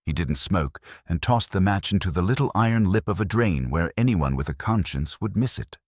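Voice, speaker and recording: A man's voice: sits low, animated, normal speed, no room sound, clean studio sound. A man narrating a scripted first-person detective story.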